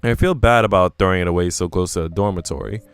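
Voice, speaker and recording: A man's voice: low-pitched, quick pace, clear, resonant tone, soft background music. A man voicing an anxious young woman who narrates her troubles in a visual novel, dramatic and self-questioning. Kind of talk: visual novel first-person reading.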